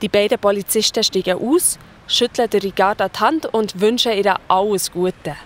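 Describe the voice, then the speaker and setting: A woman's voice: mid-range voice, moderate pace. A woman with a Swiss German accent narrating a children's story in a colloquial, scripted style. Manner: colloquial, present-tense storytelling.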